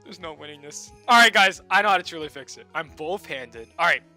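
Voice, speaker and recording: Young male game streamer, joking and excitable, slightly high voice with a sharp, bright tone. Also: a little fast, faint music behind. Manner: excitable, joking, swings between shouting and muttering. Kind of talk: casual gameplay commentary.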